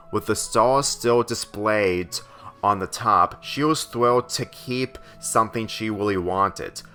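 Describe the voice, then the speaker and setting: Male story reader, unhurried and a bit halting, forceful bright tone. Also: fairly low pitch. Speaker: fan fiction reader. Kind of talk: scripted story narration, reading aloud.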